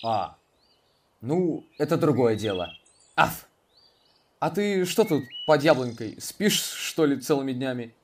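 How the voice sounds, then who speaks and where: A man's voice: mid-range voice, animated, takes it slowly, pauses often, slight background noise. Man as a blunt, easily offended guard dog for a kids' audio story, barks mid-line, mic a little distant. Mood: curious. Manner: gruff, blunt, barking between phrases. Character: touchy, proud male watchdog. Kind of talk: children's audio drama.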